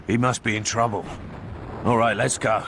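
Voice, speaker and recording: A man's voice: lowish voice, little pitch movement, normal speed, background noise, close to an everyday voice. A man playing a bitter, insubordinate soldier in a video game, with a British accent and a blunt, sarcastic manner. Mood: surly, sarcastic. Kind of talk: video game dramatic acting.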